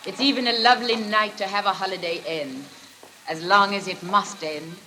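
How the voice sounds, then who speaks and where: A woman's voice: medium pitch, normal speed, bright, forceful tone, natural voice, poor audio. An actress playing an anxious, genteel young woman in melodramatic 1940s radio acting. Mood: wistful. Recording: vintage radio recording with faint hiss.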